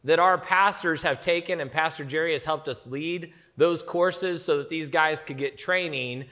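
A man in his thirties, a pastor, co-teaching a sermon with a slightly scratchy voice and an energetic delivery in a large church auditorium. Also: fairly high pitch, normal speed. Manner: energetic, gestures while speaking. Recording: long hall reverb.

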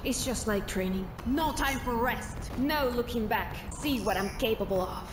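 An actress playing a proud, intense young warrior in a video game, stern and defiant. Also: female voice, a little high, moderate pace, lightly acted. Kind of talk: video game hero voice lines. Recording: echoey, loud music behind.

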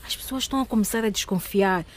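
A woman's voice: medium pitch, fast talker, natural voice. An actress playing a young woman on a stakeout, weary and complaining. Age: young adult.

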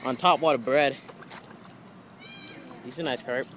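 A man's voice: mid-range voice, steady tone, a little slow. Young man vlogging by a pond. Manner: chatty, addresses viewers as guys. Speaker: fishing vlogger. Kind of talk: casual fishing vlog narration. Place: outdoors by a city park pond. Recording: outdoor phone recording with noisy background.

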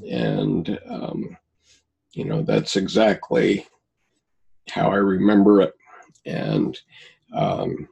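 A man in his seventies with a very low, gravelly voice who speaks slowly. He talks haltingly and casually, restarting sentences and filling gaps as he recalls things. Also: darkish tone. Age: 70s. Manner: halting, with many fillers and restarts. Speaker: guest. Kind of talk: casual conversation, recounting personal experiences.

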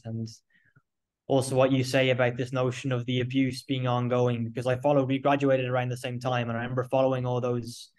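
A man's voice: mid-range voice, darkish tone. A man, moderating a webinar over a video call, admiring and repeating words as he asks questions. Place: indoor room with arched window. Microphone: laptop webcam on a video call.

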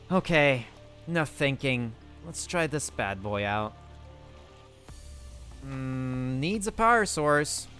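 Excitable man roleplaying aloud, bright tone. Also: a little high. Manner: excitable, thinks aloud to himself. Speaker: game roleplayer. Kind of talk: in-character survival game roleplay.

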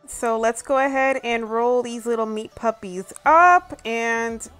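A woman's voice: a little high, takes it slowly, music in the background. A young American woman talking casually and informally as she narrates a home cooking demonstration. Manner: chatty, informal. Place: home kitchen, indoors.

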